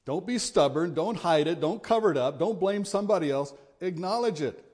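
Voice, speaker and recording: A middle-aged man with a big voice preaching a sermon, earnest and at times forceful in his exhortations. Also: high voice, expressive, brisk.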